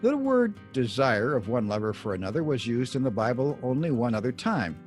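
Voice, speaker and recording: A man reading scripted Bible teaching like an audiobook author, deliberate and emphatic, with measured pauses. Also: medium pitch, very animated, soft background music. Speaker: author reading own book excerpt.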